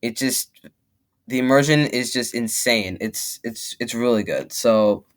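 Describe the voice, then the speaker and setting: A man's voice: mid-range voice, measured pace, clean tone. A young man hosting a reaction video with casual, rambling commentary, emphatic and repeating himself to make a point. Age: young adult.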